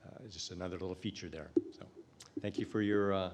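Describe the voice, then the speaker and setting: A very low-voiced man gives a talk at a conference. He pauses often and restarts his sentences, filling gaps with "uh" as he walks through his slides. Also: fairly even intonation, very noisy. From United States. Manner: halting, many fillers and restarts. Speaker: conference presenter. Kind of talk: live presentation talk. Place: conference room.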